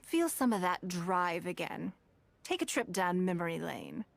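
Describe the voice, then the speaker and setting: A woman's voice: lowish voice, big pitch swings, a little slow, gravelly, a little hiss or hum, heavily acted. An actress playing a shrewd, wealthy noblewoman in a video game, composed, assured and refined. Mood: confident, inviting. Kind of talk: video game character acting.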